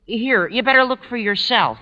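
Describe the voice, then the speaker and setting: Eager high-pitched teen boy, super sharp voice, old radio sitcom, muffled echoey recording. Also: moderate pace, exaggerated voice. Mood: excited. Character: excitable, eager teenage schoolboy. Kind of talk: 1940s radio comedy acting. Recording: dull, reverberant 1940s radio recording.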